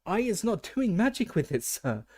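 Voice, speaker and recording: A man's voice: high-pitched, sing-song, moderate pace, a little mellow, pitched above the performer's own voice. A man voicing a small, terrified female house-elf servant who squeals, stammers and pleads in broken grammar. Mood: squealing terror. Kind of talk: audiobook reading.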